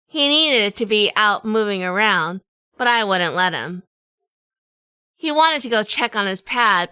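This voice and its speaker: A woman's voice: mid-range voice, pushed and bright, clean tone, no echo. A woman playing a wry, world-weary detective in a noir audio drama, with a dry, sardonic delivery. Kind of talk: hardboiled noir radio drama narration and dialogue.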